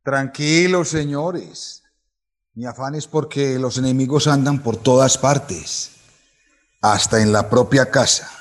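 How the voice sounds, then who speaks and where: An actor plays a rich dandy in a radio drama. He is wary and commanding, speaking slowly and with measure. A long reverb surrounds the voice. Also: medium pitch, natural voice. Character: wary, wealthy dandy boss.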